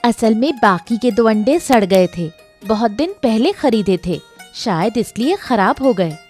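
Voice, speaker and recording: A woman playing a gentle, dutiful young wife in an acted story, speaking softly and deferentially to her husband. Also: fairly high pitch, animated, measured pace, faint music behind. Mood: hesitant, apologetic. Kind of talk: acted Hindi audio story dialogue.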